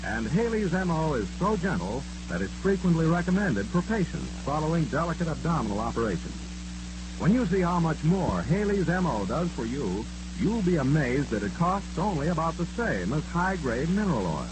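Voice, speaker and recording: Vintage radio ad man, lively pitch. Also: moderate pace. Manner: formal, emphatic salesmanship. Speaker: commercial announcer. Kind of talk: 1940s radio advertisement. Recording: noisy old radio recording with hum.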